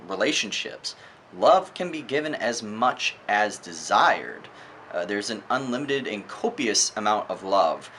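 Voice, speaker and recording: A man giving a casual, opinionated book review monologue in an earnest, emphatic way, often halting and posing rhetorical questions. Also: fairly low pitch, a bit bright, slight background noise.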